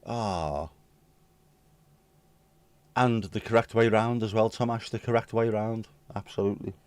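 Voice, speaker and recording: A hoarse man with a British accent, chatting casually with viewers on his livestream and swearing bluntly. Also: lowish voice, unhurried, no echo. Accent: British English. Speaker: livestream host. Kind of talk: casual chat reading viewer comments.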